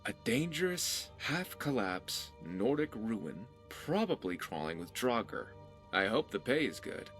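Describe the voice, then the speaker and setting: Sardonic pirate companion for a video game, curious, chatty, really expressive, bit of music behind. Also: male voice, mid-range voice. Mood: wry. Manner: sardonic, conversational. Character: wry, curious, easygoing pirate adventurer companion. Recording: background music under the voice.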